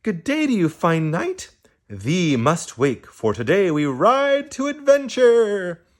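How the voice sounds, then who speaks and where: A man's voice: high-pitched, very animated, pitched above the performer's own voice, full character voice. A man voicing an eager, chatty young female bird who asks question after question in a chirpy voice. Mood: grand. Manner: chirpy, rapid-fire questions.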